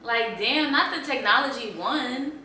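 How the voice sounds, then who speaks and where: Bright young woman in an echoey room. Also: sits high, a little slow. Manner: laid-back, slangy, laughs often. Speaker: podcast co-host. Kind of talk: casual chat. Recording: echoey room, mic at a distance, faint background noise.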